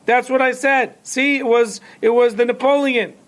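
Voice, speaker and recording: A man's voice: steady tone, moderate pace. A man voicing a frantic mother who shrieks accusations at a shopkeeper, in a storytelling teacher's classroom tale. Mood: indignant. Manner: shrill, accusing, hurls insults. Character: frantic shrieking mother, middle-aged woman.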